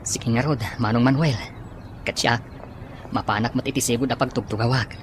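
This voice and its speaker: A man's voice: mid-range voice, fairly even intonation, normal speed, lightly acted. A man acting a polite, dutiful grown son in an Ilocano radio-style drama, with an Ilocano Filipino accent. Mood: humble, polite. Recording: clear background noise, chirping insects.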